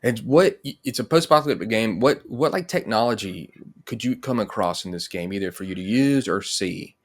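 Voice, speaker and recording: A man hosts a casual online interview. His voice is a little lower than average, and he talks in an informal, conversational way. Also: lively intonation, a little fast. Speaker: interviewer, host. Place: indoors, on a video call.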